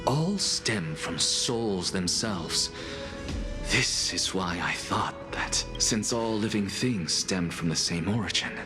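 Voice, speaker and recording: A proud, sardonic scholar, swinging in pitch. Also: male voice. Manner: dry, theatrical, biting. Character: proud, sardonic scholar man, brilliant and defiant heretic alchemist. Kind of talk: dramatic video game voice acting. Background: music.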